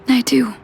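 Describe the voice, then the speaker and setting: A woman playing a gentle, weary female doctor in a video game, speaking softly with tender, measured grief and sighs. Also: slight background noise. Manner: soft, measured, sighing delivery. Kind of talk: video game voice acting, dramatic dialogue. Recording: clean voice over background music.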